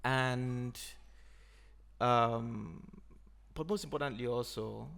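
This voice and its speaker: Gravelly-voiced man, thoughtful. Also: mid-range voice, fairly bright tone. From Nigeria. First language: Igbo. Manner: thoughtful, unhurried, frequent "you know". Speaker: podcast host. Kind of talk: reflective conversation.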